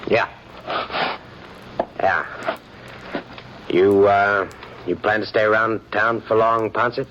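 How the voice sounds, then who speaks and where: A low-voiced frontier bully. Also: male voice, fairly even intonation, slow, fairly bright tone. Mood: menacing. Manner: menacing at first, then stammering. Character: blustering frontier bully running for sheriff. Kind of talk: 1950s radio drama acting. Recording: muffled vintage radio broadcast with hiss.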